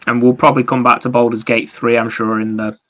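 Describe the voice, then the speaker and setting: Male podcast host, bright edge to an average-pitched voice, casual and explanatory, dull microphone sound. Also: flat delivery, fast. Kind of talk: casual chat about video games.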